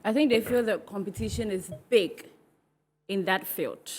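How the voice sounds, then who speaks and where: A woman's voice: mid-range voice, normal speed, slightly rough. A woman co-hosting a TV entertainment panel, mostly chiming in with short, agreeable remarks. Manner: brief, agreeable interjections. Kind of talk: panel discussion.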